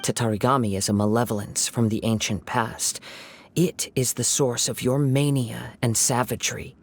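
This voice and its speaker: An actor voicing a calm, measured young male wandering samurai who speaks in a reflective way. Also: medium pitch, a little hiss or hum.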